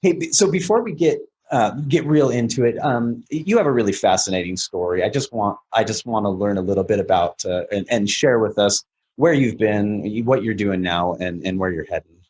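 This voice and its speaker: A man's voice: on the low side, fairly quick, darkish tone. A man hosting a podcast interview, upbeat and chatty, with fillers and restarts. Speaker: podcast host.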